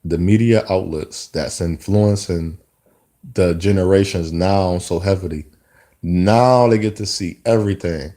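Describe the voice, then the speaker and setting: Low, mellow-voiced man musing aloud. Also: measured pace. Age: 30s. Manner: rambling, reflective, with many restarts. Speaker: vlogger. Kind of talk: casual spoken monologue.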